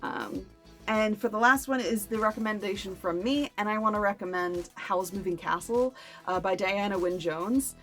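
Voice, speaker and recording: A woman's voice: medium pitch, moderate pace, fairly bright tone, soft background music. A woman chatting casually to camera as a book review vlogger, with playful, self-deprecating asides.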